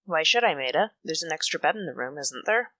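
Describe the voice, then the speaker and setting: A woman's voice: sits low, animated, fairly quick, bright, forceful tone, no room sound, full character voice. A woman playing a blunt, resentful young sister in a radio soap drama.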